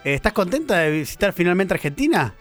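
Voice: mid-range voice, big pitch swings, fast. A chatty radio host with a Rioplatense accent talks to a guest in the studio and laughs easily. Faint music runs underneath. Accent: Rioplatense Spanish. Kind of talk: radio interview.

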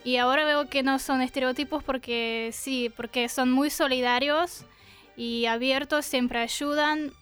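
A young Russian woman with a high, smooth and clear voice answers questions in a radio studio. Her Russian accent is plain, and she stops often to hunt for the right word. Faint music plays behind her. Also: steady tone, measured pace, a bit bright. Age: 20s. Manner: hesitant, searching for words with many fillers. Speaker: guest. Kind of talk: interview answers. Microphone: studio microphone.

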